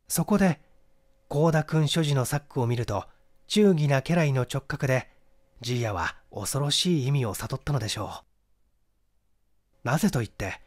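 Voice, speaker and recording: A man voicing an earnest young gentleman in an audiobook, reasoning things out in a confessional manner. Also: medium pitch, close to an everyday voice. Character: earnest young gentleman explaining a bitter love rivalry. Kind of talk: dramatized audiobook dialogue.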